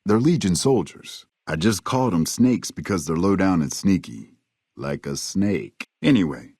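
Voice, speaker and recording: A gruff American ranger for a video game, with a very low voice that swings freely up and down. He is cynical and folksy, drawling out sardonic tough talk, and the sound is close and dry. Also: darkish tone, no room sound, full character voice. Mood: wry, explaining. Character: gruff, wry, cynical frontier army ranger man. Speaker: game character voice actor. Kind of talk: video game dialogue lines.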